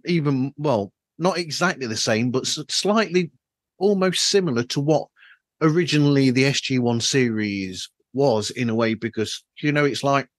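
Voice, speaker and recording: A man with a mid-pitched voice hosts a casual podcast chat. He rambles as he circles toward his point, and the sound is dry, from a faulty microphone. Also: no room sound. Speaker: podcast host.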